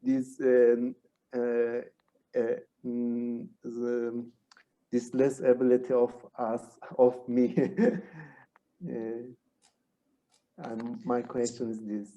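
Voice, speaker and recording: A man with a Turkish accent asks a question over a video call in a slow, halting way. He pauses a lot on "uh" as he searches for the right words. His voice is mellow and low-key. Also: completely flat delivery, muffled recording. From Turkey. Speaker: webinar participant asking a question. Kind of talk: hesitant question in a second language.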